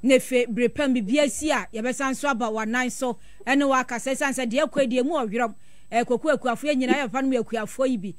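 A woman's voice: high voice, quick pace, no room sound. A woman with a Ghanaian accent hosting a radio interview, putting questions to a guest. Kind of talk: broadcast interview.

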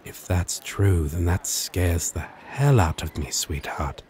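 Slow, low-voiced flirty demon played by a man. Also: animated, darkish tone. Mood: earnest, tender. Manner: teasing, halting, dramatic delivery. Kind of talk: ASMR romance roleplay acting.

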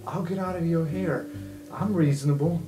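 A man voicing a shifty goblin janitor in a tabletop game, evasive and quietly menacing, with folksy, wheedling talk. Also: on the high side, normal speed, over music, voice pushed up, natural voice. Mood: cornered, threatening.

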